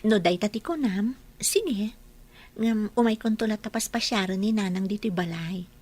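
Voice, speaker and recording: A woman playing a gentle, practical bride-to-be in an Ilocano radio drama, speaking in an affectionate, fussing way. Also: medium pitch, moderate pace, husky, close to an everyday voice. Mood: affectionate, teasing.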